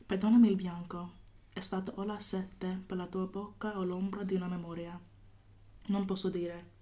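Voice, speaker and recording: A woman poet from California, a guest on a podcast, talking thoughtfully with many pauses and fillers and reading her poem. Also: medium pitch, little pitch movement, mellow. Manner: thoughtful, hesitant with many fillers, laughs often. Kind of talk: podcast interview with poetry reading.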